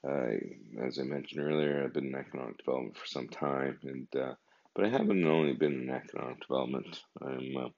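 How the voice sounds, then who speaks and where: Fifties male podcast host, gravelly, meandering and chatty. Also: very deep voice, a little fast, darkish tone. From Canada. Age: 50s. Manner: meandering, conversational. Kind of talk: casual podcast monologue. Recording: dull lo-fi recording.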